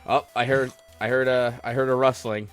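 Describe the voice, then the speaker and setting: A man's voice: medium pitch, little pitch movement, moderate pace, clear, resonant tone. Man, livestream host, casual gamer chat, rambling, self-deprecating, retro game music behind. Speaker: host of a gaming livestream podcast taking callers. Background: retro video game music.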